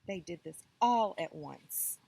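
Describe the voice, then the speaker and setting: A woman storyteller reading a children's tale expressively, with deliberate, dramatic phrasing. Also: lowish voice, animated, gravelly, dark tone, no echo.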